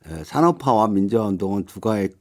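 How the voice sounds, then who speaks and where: Mellow middle-aged man, halting explainer. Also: fairly low pitch, very animated. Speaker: interview guest, activist group chair. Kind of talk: radio interview, explaining. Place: radio studio. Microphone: studio condenser microphone up close.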